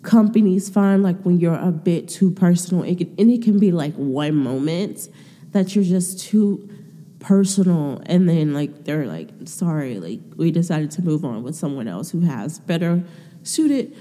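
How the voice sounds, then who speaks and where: A woman's voice: on the low side, animated, a little slow, warm tone, in a large hall. A woman hosting a podcast from her room, talking casually about faith with a stuffy nose, rambling with lots of filler words. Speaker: podcast host. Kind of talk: casual faith talk monologue.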